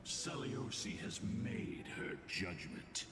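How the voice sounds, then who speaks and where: An actor playing a grave, weary man who recounts ancient history in slow, solemn, measured game dialogue. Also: sits low. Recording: reverberant game audio over loud music.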